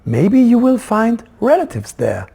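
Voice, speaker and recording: A man's voice: sits high, huge pitch swings, measured pace, creaky, dark tone, breathy edge, pitched above the performer's own voice, exaggerated voice. A man voicing a kindly, encouraging female owl in a children's storybook.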